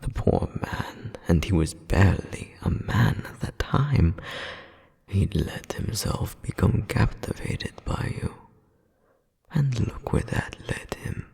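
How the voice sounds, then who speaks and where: A man's voice: lowish voice, lively intonation, slow, a little mellow, full character voice. A man playing a cold, domineering demon nobleman scolding as an older brother, with haughty, formal menace in an ASMR audio roleplay. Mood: mocking pity. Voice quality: breathy, gravelly half-whisper. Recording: long cavernous reverb.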